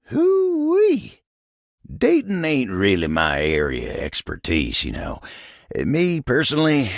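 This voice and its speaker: A man voicing a kindly, earnest living table in a video game, with a folksy American cowboy drawl and a gravelly, friendly delivery. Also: fairly bright tone, dry recording, clean studio sound, heavily acted. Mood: bashful, easygoing. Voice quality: low, gravelly. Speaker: voice actor. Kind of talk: video game character dialogue.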